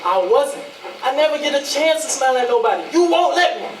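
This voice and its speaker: A man's voice: very high-pitched, expressive, fairly quick. A man acting a put-upon man in a stage play, with a protesting manner. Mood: defensive. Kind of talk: readers theatre stage acting. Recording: echoey, distant, noisy ambience.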